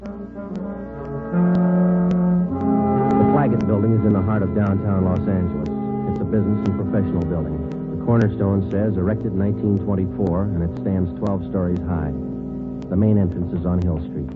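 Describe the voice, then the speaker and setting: An actor as a fast-talking, low-voiced, deadpan police sergeant. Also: male voice, lightly acted. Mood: flat, factual. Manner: clipped, deadpan, matter-of-fact. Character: terse, businesslike male police sergeant narrating his case. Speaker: lead police detective and narrator. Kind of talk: 1950s radio police drama narration and dialogue. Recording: dull vintage radio recording with loud music.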